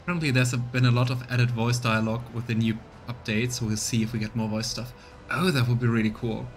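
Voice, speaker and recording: A man's voice: mid-range voice, little pitch movement, fast. A male game streamer with a mid-range voice chats casually and reads out narration. Music plays behind his commentary. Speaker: streamer doing voiced let's play.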